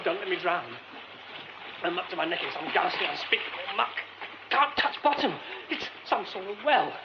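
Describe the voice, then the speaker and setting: A polite, educated British theatre man in a radio drama. His voice is high and lively, expressive and excitable. It comes through a dull, noisy recording. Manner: expressive, muttering exclamations to himself.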